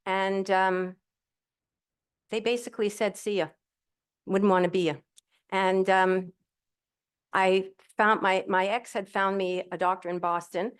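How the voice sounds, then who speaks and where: A woman's voice: mid-range voice, fairly even intonation, a little slow, pauses often, clear, resonant tone. A middle-aged woman telling her own story as a panel guest at a live event, cheerful, candid and rambling, speaking into a handheld microphone. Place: event hall with audience.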